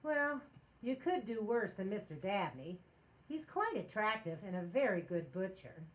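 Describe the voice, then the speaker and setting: A woman's voice: mid-range voice, very animated, moderate pace, natural voice. A woman playing a playful, meddling married housewife in 1940s radio comedy style, with an emphatic theatrical delivery. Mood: teasing.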